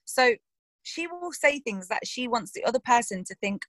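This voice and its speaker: A young actress talking casually in an interview about a character she played, animated and quick to act out quoted lines. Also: fairly high pitch, dry recording.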